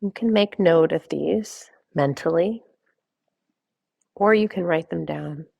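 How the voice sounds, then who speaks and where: Female meditation guide, low register with noticeable vocal fry, gentle step-by-step instruction. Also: takes it slowly, dry recording. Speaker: meditation guide, wellness coach.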